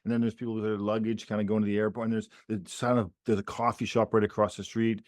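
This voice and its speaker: A man's voice: lowish voice, flat delivery, quick pace, a little mellow, no echo, clean studio sound. A man co-hosting a casual political chat podcast, rambling with many restarts and repeated words. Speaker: podcast co-host.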